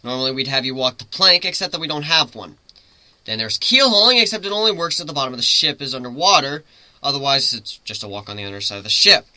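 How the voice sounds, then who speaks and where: A man voicing a brash, commanding griffin ship's captain in a story reading, loud, theatrical and taunting. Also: medium pitch, animated, quick pace, dry recording. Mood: mock stern.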